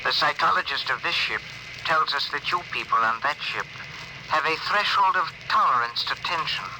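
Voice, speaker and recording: A calm alien envoy played by a man in 1950s radio drama style. The voice sits a little high, with formal, measured, stilted phrasing. The old broadcast carries clear noise. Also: close to an everyday voice. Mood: grave, formal.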